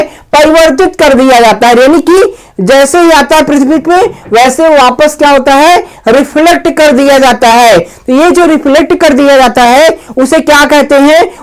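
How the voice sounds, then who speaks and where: A man's voice: extremely high pitch, rough recording. A man teaching in a lecture style, explaining step by step with repetition, rhetorical questions and frequent check words.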